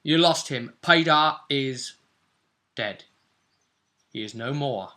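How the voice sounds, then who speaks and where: Male game master, British accent, medium pitch with a forceful bright tone, relaxed conversational recap. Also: takes it slowly. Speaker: game master and vidcast host. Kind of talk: casual chat recapping a game session.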